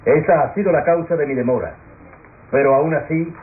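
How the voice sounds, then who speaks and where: An actor playing an earnest, courteous defense lawyer, declaiming formally in classic radio soap style. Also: male voice, fairly high pitch, a little fast, natural voice. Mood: resolute, apologetic. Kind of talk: classic Latin American radio soap acting. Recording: tinny old radio recording.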